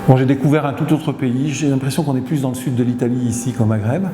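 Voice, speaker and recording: A middle-aged man speaks at an ordinary mid pitch, answering interview questions as a company chief. He pauses and hesitates often as he works through his sentences. His voice rings out with a long tail of reverb in a big echoey room, with steady background noise behind him. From Tunisia. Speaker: company CEO.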